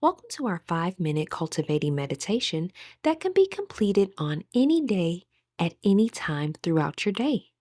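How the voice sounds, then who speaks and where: A woman guiding a meditation in a soothing, deliberate manner with gentle pauses. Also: on the low side, very animated, dry recording, clean studio sound. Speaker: meditation guide. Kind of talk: guided meditation narration.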